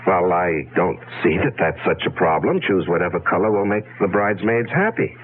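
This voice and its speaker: A man's voice: low voice, expressive, fairly quick. An actor playing a nervous, evasive businessman in a radio drama, a man who hesitates and repeats himself. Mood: guarded, uneasy. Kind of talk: 1970s radio drama acting. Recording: tinny, hissy 1970s radio broadcast.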